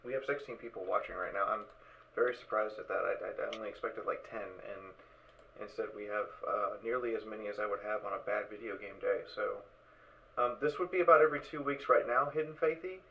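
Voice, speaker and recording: A man hosting a casual live stream over voice chat, talking haltingly and repeating his words as he organises. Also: lowish voice, vocal fry. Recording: dull voice chat with background noise.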